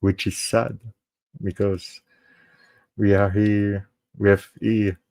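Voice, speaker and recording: A man from Belgium with a French-speaking Belgian accent, whose first language is French, hosting a live true crime show. He speaks in a halting, earnest way, restarts his phrases often and slips into French. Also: fairly low pitch, flat delivery, vocal fry, darkish tone, no echo. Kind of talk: casual live-stream sign-off.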